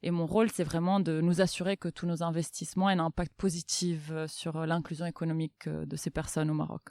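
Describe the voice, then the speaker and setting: Smooth-voiced woman, hesitant and thoughtful. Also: deep voice, little pitch movement, fast, darkish tone, dry recording. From Milan, Italy. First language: Italian. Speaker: interview guest, development bank inclusion officer. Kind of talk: casual, thoughtful interview answers.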